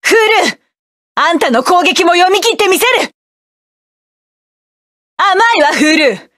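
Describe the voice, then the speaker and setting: A woman's voice: very high voice, lively intonation, unhurried, no echo, studio quality, heavily acted. A woman voicing a proud, commanding adult mecha pilot in a game, barking orders and sneering at enemies. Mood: cold contempt. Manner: sharp commanding battle shouts, cool haughty taunts. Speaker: voice actress for a game character. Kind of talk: game battle voice lines.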